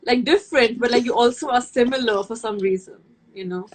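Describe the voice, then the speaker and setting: A woman's voice: fairly high pitch, fairly quick. A young woman from India, a native Hindi speaker, chatting casually as a livestream guest and rambling with many fillers and restarts. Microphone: phone or video call.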